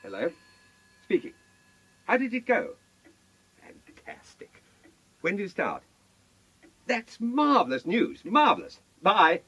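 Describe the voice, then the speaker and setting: Confident gang leader, swooping intonation, breathy edge, radio caper comedy. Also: male voice, a little high, bright, forceful tone, full character voice. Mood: brisk, pleased. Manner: polished, self-satisfied, explanatory. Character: smooth, confident criminal mastermind planning a heist. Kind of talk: British radio comedy drama.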